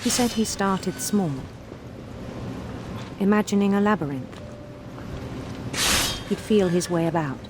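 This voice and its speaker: A woman playing a formal psychiatrist who narrates a condolence letter in a measured, deliberate way for a game. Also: mid-range voice, background noise, lightly acted. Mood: measured, sympathetic. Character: measured psychiatrist writing to a grieving mother. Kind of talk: scripted game narration, letter read aloud. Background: music.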